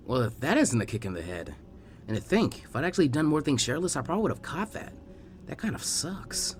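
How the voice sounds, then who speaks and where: A man's voice: a little high, big pitch swings, a little fast, slight background noise. A man voicing a blunt, sarcastic, emotional teenage ninja boy in storybook dialogue. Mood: sarcastic, stunned.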